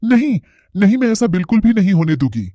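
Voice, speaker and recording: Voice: mid-range voice, big pitch swings, brisk, warm tone, no room sound, highs cut off. A performer voicing a vengeful female ghost witch, a murdered wife, in a cartoon horror story. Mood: vengeful, defiant. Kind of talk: Hindi cartoon horror voice acting.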